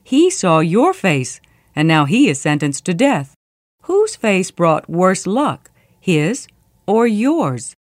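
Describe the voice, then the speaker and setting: A woman's voice: fairly low pitch, big pitch swings, slow pace, dry recording, clean studio sound. A woman voicing a shrewd, confident royal minister in a storybook tale, making his case with pointed questions.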